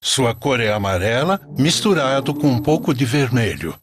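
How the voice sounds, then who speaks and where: A man's voice: medium pitch, sing-song, normal speed, crowd noise, close to an everyday voice. A man voicing a solemn, patient, commanding prophet in a storybook cartoon.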